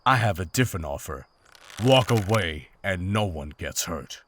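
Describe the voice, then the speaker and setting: A man's voice: low voice, lively intonation, normal speed, fairly bright tone, slight background noise. A man playing a grave, principled monster hunter in a fantasy visual novel, speaking in terse, formal lines. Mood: firm warning. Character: stern, principled monster hunter, weary and commanding.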